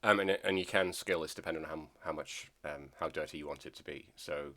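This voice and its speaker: A man's voice: very deep voice, quick pace, pushed and bright. A man narrating a model railway build video in a casual, hesitant way with many ums, sounding nasal from a cold. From Scotland.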